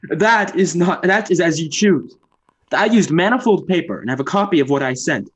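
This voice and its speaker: An actor playing a proud, defensive young writer giving formal, precise testimony in an old-time radio drama. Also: male voice, on the high side, lively intonation, normal speed, husky, dull audio. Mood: defensive, resentful. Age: young adult.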